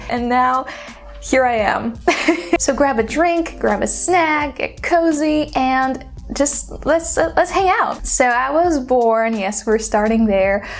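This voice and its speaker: A woman in her twenties from Poland with a Polish accent, chatting casually to her viewers with frequent "like" fillers. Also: a little high. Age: 20s. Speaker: YouTuber. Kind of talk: casual vlog chat.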